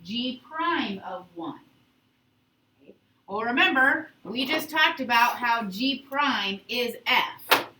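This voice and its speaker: A woman teaching high school calculus to a class, instructive, often checking understanding with short questions and prompts. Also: animated, takes it slowly, bright, forceful tone. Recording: echoey classroom, distant mic, faint noise.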